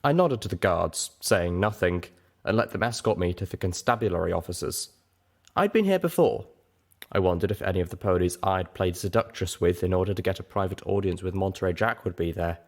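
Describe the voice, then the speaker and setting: Male audiobook narrator, low register, dramatic first-person delivery with expressive phrasing. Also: brisk.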